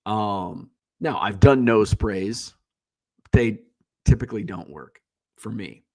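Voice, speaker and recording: A man's voice: fairly low pitch, slow pace, vocal fry, a little mellow, breathy edge. A congested man hosting a livestream, talking in a casual, rambling, blunt way and swearing freely. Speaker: livestream host. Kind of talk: casual solo chat.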